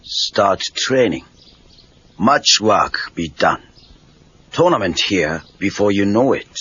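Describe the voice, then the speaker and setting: An actor playing a wise, calm old Okinawan karate master with a Japanese accent, speaking terse broken English with deliberate pauses in an audio drama. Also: male voice, fairly low pitch, husky, heavily acted. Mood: stern, matter-of-fact. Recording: muffled lo-fi read-along cassette tape.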